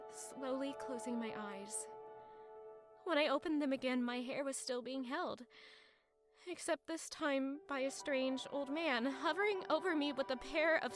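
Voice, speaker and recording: An actress playing an earnest, dramatic young woman in an audio drama. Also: high-pitched.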